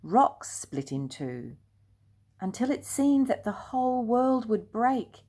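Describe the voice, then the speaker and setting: Slow, gentle older woman reading a story. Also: on the high side, very animated. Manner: slow, gentle and hushed, dropping to near whispers. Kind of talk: reading a children's storybook aloud.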